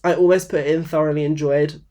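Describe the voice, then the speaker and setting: A man in his twenties, croaky and blocked up from a cold, rambling casually to camera about books with many fillers and restarts. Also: fairly high pitch, steady tone, fast, mellow. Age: 20s. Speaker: book vlogger. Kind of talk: casual unscripted talk to camera. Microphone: phone camera.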